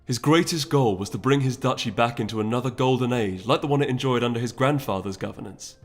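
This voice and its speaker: A man reads a fantasy story as its narrator. His voice sits at a middling pitch, with music playing behind the scripted narration. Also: fast. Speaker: audiobook narrator.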